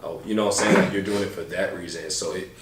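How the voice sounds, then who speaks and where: A deep-voiced man chats in a loose, slangy podcast style, full of fillers and asides. He sits a little back from the mic in a roomy-sounding space. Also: fairly even intonation, very fast, very noisy. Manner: laid-back, slangy, rambling with many fillers. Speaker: podcast co-host. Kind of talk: casual chat. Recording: roomy, a bit far from mic.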